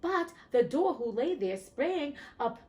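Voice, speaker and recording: A woman reads an old fairy tale aloud with a lively, swinging pitch. She keeps stopping for chatty, irreverent asides, tossing in casual swearing along the way. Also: slow. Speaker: host reading classic stories. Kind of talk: storybook reading with casual asides.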